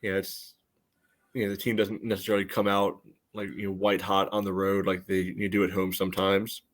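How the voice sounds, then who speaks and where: A man with a fairly low voice talks as a sports podcast co-host. His analysis is casual and conversational, and he qualifies his points as he goes. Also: flat delivery, fairly quick.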